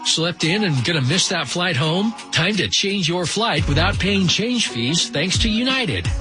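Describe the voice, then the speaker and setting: Slightly high-voiced American ad announcer man. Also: sing-song, a little slow, bright, forceful tone. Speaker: commercial voice-over. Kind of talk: scripted radio ad read. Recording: music bed behind the voice.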